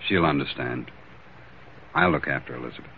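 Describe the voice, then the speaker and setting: An actor playing a concerned but guarded doctor, polite, measured and probing, in old-time radio drama style. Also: male voice, extremely low pitch, gravelly. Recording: tinny, very noisy old radio broadcast.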